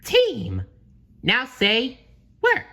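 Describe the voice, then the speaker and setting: A man voicing an eager, cheerful young cartoon sponge, speaking in an excitable, earnest and encouraging way. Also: very high voice, drawn-out words, creaky, pitched above the performer's own voice, full character voice. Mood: eager, patient.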